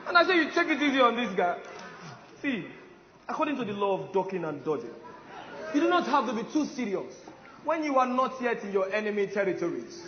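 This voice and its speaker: A man's voice: high voice, very animated, brisk, some chatter behind. A man playing a boastful, self-important warrior commander in a comic stage play, declaiming pompously. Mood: reassuring, commanding. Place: stage in a large hall with audience. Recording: echoey hall, muffled, noisy audience.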